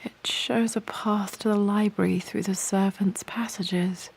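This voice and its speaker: An actress playing a pensive young British heiress and explorer, musing aloud in a video game. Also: female voice, mid-range voice, steady tone, unhurried, creaky, slight background noise, low-quality recording. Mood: focused, musing. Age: young adult. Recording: music behind the voice.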